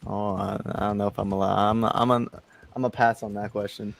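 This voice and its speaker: A man's voice: fairly low pitch, level tone, a little fast, gravelly. A man playing a laid-back, cagey Wild West outlaw in an online roleplay game, talking in a folksy, hesitant way. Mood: cagey, friendly. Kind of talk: improvised in-character game chat.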